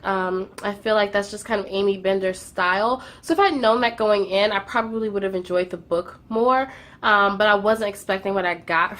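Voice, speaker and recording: A woman's voice: on the high side, fairly bright tone. Young woman, book vlogger, congested nasal voice from a cold, casual chatty talk, lots of ums. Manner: chatty, frequent "um" fillers, repeats for emphasis. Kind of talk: casual monthly reading wrap-up.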